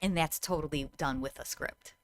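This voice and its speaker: A woman's voice: deep voice, moderate pace, faint music behind. A woman in her thirties giving a tutorial to camera in a conversational, explanatory manner. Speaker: host, VR game designer.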